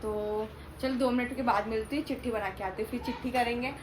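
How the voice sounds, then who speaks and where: A young woman chatting casually and excitably to camera, informal and a bit bossy with her companions, as a family vlogger. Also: on the high side, a little fast. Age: young adult. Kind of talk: casual chat to camera in Hindi vlog. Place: at home, indoors. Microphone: phone propped up without a stand. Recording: noisy home phone recording.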